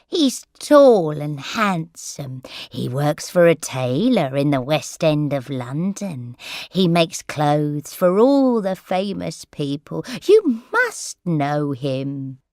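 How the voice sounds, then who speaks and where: A muddled elderly woman, read by a woman narrator drifts from one thought to the next at an unhurried crawl. Her voice rises and dips freely. The recording is dry and close. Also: deep voice, very animated, a little mellow, no echo, heavily acted. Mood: bemused. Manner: slow, wandering, confused speech.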